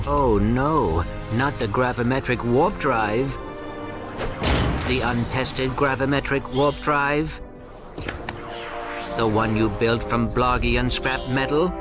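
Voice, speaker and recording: A man's voice: medium pitch, slow, long pauses, music in the background, close to an everyday voice. A man voicing a small, polite, literal-minded robot in a cartoon video game, speaking in a precise, formal and mild manner. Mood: mild, fretting.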